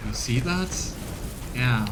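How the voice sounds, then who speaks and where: A man's voice: mid-range voice, animated, noisy background. Chatty man commentating a game. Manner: thinks aloud, full of "like" fillers and quick reactions. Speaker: let's play host. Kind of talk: casual gameplay commentary. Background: loud game music.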